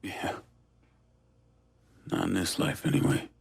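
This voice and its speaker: A man's voice: slow, close to an everyday voice. A man playing a world-weary, hardened war veteran turned criminal in a video game, with a blunt, laconic manner. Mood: dry, resigned. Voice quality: gravelly, husky. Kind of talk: dramatic video game dialogue.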